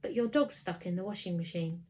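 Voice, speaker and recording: A woman voicing a calm, reassuring male chief fire officer in a children's story. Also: lowish voice, natural voice. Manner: soothing, measured.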